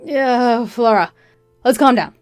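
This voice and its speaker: A woman's voice: fairly high pitch, takes it slowly, a little mellow, dry recording, soft background music. A woman voicing a flustered, evasive adult husband with a hesitant, pleading manner in a narrated story. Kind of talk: acted dialogue in a narrated story.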